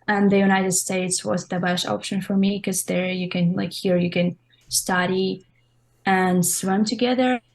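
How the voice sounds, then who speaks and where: A woman's voice: medium pitch, steady tone, a little slow, gravelly. A young woman from Russia with a Russian accent, giving casual, loosely phrased interview answers as a college swimmer. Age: young adult. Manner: informal, loosely phrased, frequent "like".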